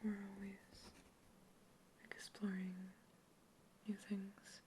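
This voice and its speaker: A woman voicing a curious female alien scientist who is examining a captive human. She whispers softly throughout the ASMR roleplay and asks clinical, matter-of-fact questions. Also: mid-range voice, completely flat delivery, drawn-out words, slight background noise. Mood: calm curious. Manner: soft unvoiced whispering throughout.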